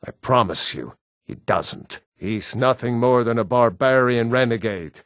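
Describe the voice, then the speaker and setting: An actor playing a proud, stern Nord man in a fantasy video game, with a measured, weighty delivery. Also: medium pitch, lively intonation, a bit bright, dry recording, studio quality. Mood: contemptuous.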